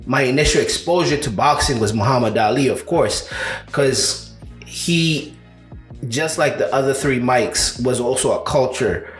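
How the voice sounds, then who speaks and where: A man's voice: medium pitch, a little slow, faint music behind. A man, co-hosting a casual combat sports chat and rambling with many "you know"s and restarts. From Uganda. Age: 30s. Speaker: co-host of a combat sports show. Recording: echoey room.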